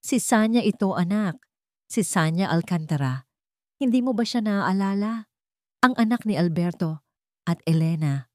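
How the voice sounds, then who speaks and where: A woman's voice: medium pitch, animated, a little slow, long pauses, no echo, studio quality, close to an everyday voice. A woman voicing an anxious, pleading middle-aged mother in a romance audio drama.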